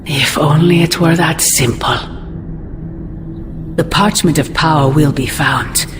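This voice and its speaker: Imperious, slow-speaking ancient sorceress villain. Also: female voice, low-pitched. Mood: ominous. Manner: grandiose, formal, measured. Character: ancient sorceress mother, imperious, ominous dark-magic villain. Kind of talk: dramatic video game cutscene acting. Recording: loud music underneath.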